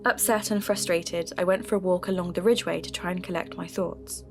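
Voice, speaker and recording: Female podcast narrator played by an actress, earnest and determined, measured confiding delivery for mystery audio drama, music bed. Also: on the low side, fairly even intonation, lightly acted. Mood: upset, reflective. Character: earnest, determined young woman podcaster investigating folklore mysteries. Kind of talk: scripted first-person audio drama narration.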